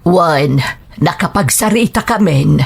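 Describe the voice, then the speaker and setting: A woman playing a firm older mother who admonishes in measured tones, in an audio drama. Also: on the low side, animated, creaky, slightly rough, heavily acted. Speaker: voice actor. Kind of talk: Ilocano audio drama acting.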